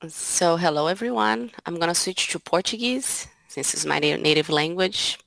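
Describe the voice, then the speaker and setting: Low, creaky-voiced Brazilian woman. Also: normal speed, a bit bright, no echo, rough recording. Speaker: panelist, organization representative. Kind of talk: informal spoken presentation. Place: indoor room at home. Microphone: headset on a video call.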